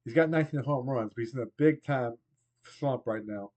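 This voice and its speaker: A man in his forties with a cold, hoarse and losing his voice, rambling casually through a baseball game replay as host. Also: medium pitch, fairly quick, a little mellow, highs cut off. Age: 40s. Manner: rambling, conversational, trailing off.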